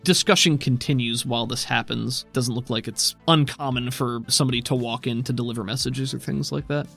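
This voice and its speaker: A man narrating a tabletop roleplaying game as game master, describing scenes in a measured, detailed way. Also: mid-range voice, fairly even intonation, vocal fry, fairly bright tone, faint music behind.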